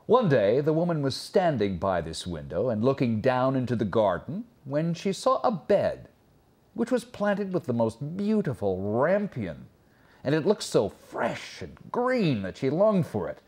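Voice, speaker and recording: Animated male fairy-tale storyteller. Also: mid-range voice. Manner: dramatic, expressive reading with pauses. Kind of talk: scripted fairy-tale narration.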